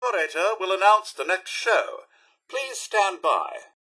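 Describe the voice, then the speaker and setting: A man's voice: sits high, lively intonation, takes it slowly, pushed and bright, exaggerated voice. A man voicing a courteous, chipper robot butler in a video game, with a British accent and a formal, theatrical manner. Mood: announcing. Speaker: robot servant and tour guide. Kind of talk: video game character voice lines.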